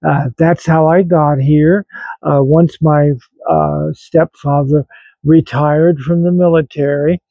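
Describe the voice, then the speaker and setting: A man in his seventies with an American accent, talking reflectively and haltingly to online viewers in a rambling personal vlog. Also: fairly high pitch, steady tone, creaky, no room sound, highs cut off. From grew up in West Virginia, United States. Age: 70s. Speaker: vlog host.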